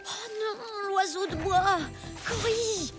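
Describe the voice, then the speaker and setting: A woman voicing a bold, eager, resourceful young boy who takes charge in a French animated series. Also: very high-pitched, takes it slowly, loud music behind the voice. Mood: alarmed, urgent.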